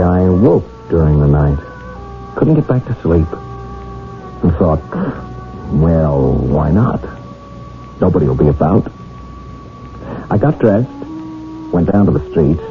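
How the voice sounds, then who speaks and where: An actor playing an earnest, polite young blind man in a radio drama, speaking in a hesitant, apologetic way. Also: low voice. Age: young adult. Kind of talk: radio drama acting and first-person narration. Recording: tinny, band-limited old radio drama broadcast.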